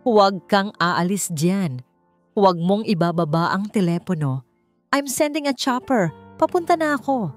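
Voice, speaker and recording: Woman as a powerful CEO man, calm, firm, urgent, romance audiobook, light music behind. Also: mid-range voice, normal speed, close to an everyday voice. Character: commanding male CEO, calm but firm.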